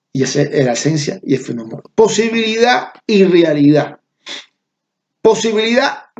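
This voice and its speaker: A man's voice: on the high side, a little mellow, lo-fi sound. A middle-aged man from Cuba with a Cuban Spanish accent, giving an online university lecture, stressing key terms and checking in with students.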